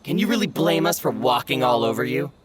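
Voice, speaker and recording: A man's voice: mid-range voice, expressive, brisk, crowd noise, lightly acted. A man voicing a sneering young male thug who taunts and mocks in a game. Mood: taunting. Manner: taunting, contemptuous. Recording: game audio with clear music behind.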